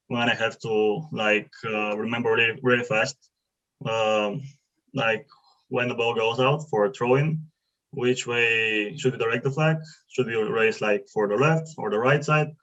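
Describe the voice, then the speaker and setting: A young man from Bulgaria with a mid-pitched voice asks questions over a video call, halting and filling gaps as he searches for words. The audio is a little muffled and dull. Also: fairly bright tone. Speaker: webinar participant asking questions.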